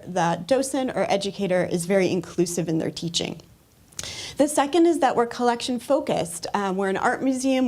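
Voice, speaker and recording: An American woman speaks as a museum educator on a panel, with an upbeat, explanatory manner. Her voice sits in a middle pitch. She addresses a live audience, with a few fillers along the way. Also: darkish tone. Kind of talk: presentation talk to an audience.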